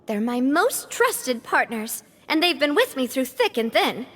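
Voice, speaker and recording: An actress playing a gracious, earnest young gang leader in a video game, speaking politely and with care. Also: female voice, sits high, big pitch swings, measured pace, fairly bright tone, heavily acted. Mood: friendly, explaining. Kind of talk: video game dialogue acting.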